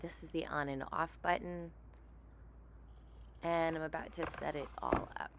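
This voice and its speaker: Woman vlogger with a gravelly, raspy voice. Chatty demo host who thinks aloud, with a faint hiss behind her. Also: deep voice, fairly even intonation, measured pace. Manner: chatty, thinks aloud, murmurs while trying features. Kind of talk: casual product demo.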